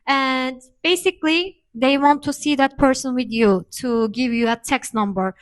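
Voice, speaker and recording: Woman, slightly high voice. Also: moderate pace. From Turkey. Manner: explanatory, many hesitation fillers. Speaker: co-host. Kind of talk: casual chat.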